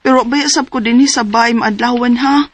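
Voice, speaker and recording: A woman in her forties with a Cebuano accent playing a single daughter who cares for her mother in a radio drama, earnest and rising high in surprise. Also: steady tone, normal speed, lightly acted. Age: 40s. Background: music.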